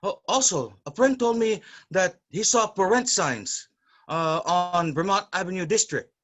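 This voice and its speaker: A man's voice: high-pitched, a little slow, a bit bright. An actor playing a caring, responsible brother, an earnest man in a staged drama. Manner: earnest, protective, scolding when angry. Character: Macario, caring, responsible, bookish Filipino brother. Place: online virtual staged reading.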